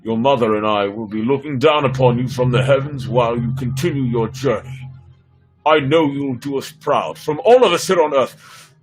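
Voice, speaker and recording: A man acting a sorrowful, ailing president and older father, solemn and halting, in a sci-fi story reading. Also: medium pitch, soft background music, close to an everyday voice, rough recording.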